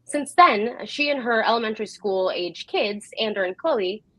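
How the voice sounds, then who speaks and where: A woman's voice: fairly high pitch, lively intonation, normal speed, pushed and bright, no room sound. A woman presenting as host of a live program, reading a prepared introduction in a welcoming, presenter-like way. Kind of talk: scripted live show introduction.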